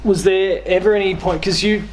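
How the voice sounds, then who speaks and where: A man's voice: high-pitched, fairly even intonation, moderate pace. A man in his thirties with an Australian accent, chatting casually as he hosts an interview. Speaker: host interviewing a guest. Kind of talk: casual chat about video games. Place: small living room, on a couch. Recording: clear background room noise.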